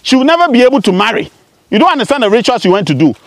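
Declaiming man with a lively, high voice. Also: rapid-fire, no room sound. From Ghana. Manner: declamatory, rising and falling sharply, repeating "huh?". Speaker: spiritualist. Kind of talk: accusatory address to camera. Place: outdoors among bushes.

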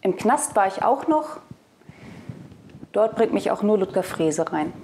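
A woman's voice: mid-range voice, expressive, a little slow, music in the background. A woman in her thirties presenting a scripted video review, addressing viewers casually into a lapel mic.

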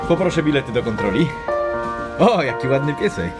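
Animated, polite train conductor, played by a man. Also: mid-range voice, brisk, loud music behind the voice, natural voice. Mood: polite, friendly. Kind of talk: children's audio drama acting.

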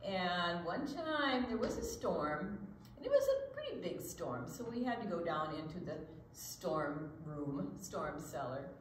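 Casting: older female storyteller, unhurried and expressive, reading to children, faint background noise. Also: lowish voice, low-quality recording. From Iowa, United States. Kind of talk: storytelling and picture-book read-aloud for children.

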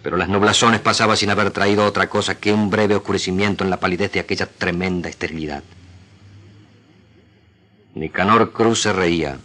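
A man with an Argentine accent giving a solemn, deliberate, declamatory literary reading as a radio actor. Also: low-pitched, pushed and bright.

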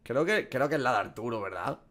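A man's voice: mid-range voice, animated, a little fast, fairly bright tone. A man, giving casual, profanity-laced reaction commentary as a gaming streamer. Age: 20s. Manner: slangy, profane. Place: small room at home.

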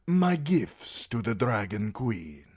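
A man voicing a swaggering, flamboyant male sellsword captain, delivering lines with drawn-out theatrical flair. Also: medium pitch, big pitch swings, a little mellow, husky, pitched above the performer's own voice, full character voice. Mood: boastful.

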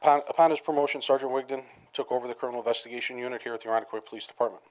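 A man reads a prepared commendation briskly from a podium in a meeting room. His voice sits at a middle pitch, and he stumbles and restarts now and then. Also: steady tone, rough recording. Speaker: police official reading a commendation. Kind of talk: formal prepared reading. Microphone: podium microphone.

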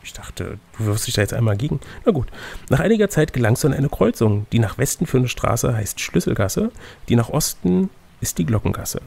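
A man's voice: medium pitch, big pitch swings, fairly quick, creaky, a little mellow, slightly rough. A man acting as game master, reading an adventure gamebook aloud like a storybook, with playful, chatty asides. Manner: playful, chatty asides between read passages. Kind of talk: storybook narration mixed with casual banter.

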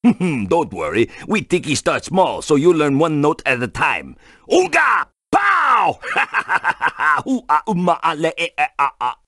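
A man voicing a friendly, excitable tiki statue in a cartoon video game, husky, speaking broken English with chanted exclamations. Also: on the high side, slow pace, no room sound, clean studio sound, heavily acted. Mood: excited. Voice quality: husky, gravelly.

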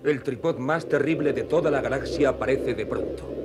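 A man's voice: mid-range voice, fairly quick, very noisy. A man reading scripted narration for a children's cartoon as its storyteller. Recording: music behind the voice.

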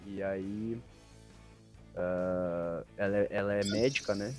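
A Brazilian man in his thirties talking over his career in a relaxed way, with lots of fillers, as a podcast guest. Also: low voice, takes it slowly, soft background music. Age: 30s. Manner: relaxed, thoughtful storytelling with many fillers. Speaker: interview guest, retired footballer. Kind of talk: casual podcast interview. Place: podcast studio with shirts on the wall. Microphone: desk mic on a stand.

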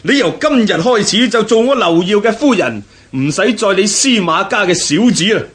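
An actor playing an arrogant, gloating conquering general in a historical radio drama, swaggering and mocking. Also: male voice, sits high, lively intonation, moderate pace, a bit bright, husky, exaggerated voice. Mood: lustful, boastful.

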